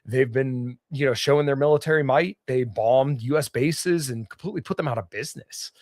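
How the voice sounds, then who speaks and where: A slightly hoarse man hosting a solo political podcast, reading news aloud and bluntly giving his own opinions. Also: mid-range voice, a little fast, darkish tone, dry recording, studio quality. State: slightly hoarse, getting over illness. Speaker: podcast host. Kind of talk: solo political commentary livestream.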